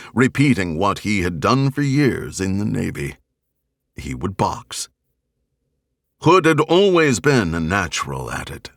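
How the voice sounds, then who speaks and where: Male narrator for dramatic fiction: deep, measured, serious, recorded dry and close. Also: a bit bright, no echo. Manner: measured, grave, deliberate phrasing. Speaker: audiobook narrator. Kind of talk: scripted dramatic novel narration.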